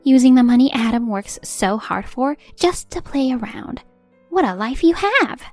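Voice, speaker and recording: A woman voicing a spiteful, nagging middle-aged mother-in-law who scolds in a sneering, drawn-out way. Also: sits high, animated, a little mellow, dry recording, soft background music.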